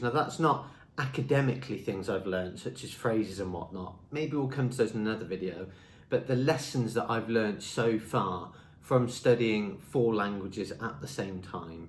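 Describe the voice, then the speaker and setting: Young male vlogger, English native, mid-pitched, friendly and chatty, speaking to camera in a roomy space. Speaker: language school marketing presenter. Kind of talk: talking-head vlog. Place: indoor room with a screen behind him.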